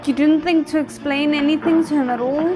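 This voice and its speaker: A woman's voice: high-pitched, fairly even intonation, brisk, music in the background. A woman hosting a reaction video, talking casually and expressively, with hesitant phrasing that trails into asides. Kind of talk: casual reaction commentary to camera.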